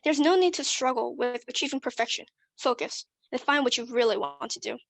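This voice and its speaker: A woman's voice: on the high side, animated, fairly quick, vocal fry, a bit bright, no room sound. A young woman delivering a prepared competition speech in an earnest, persuasive way. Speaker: student speaker.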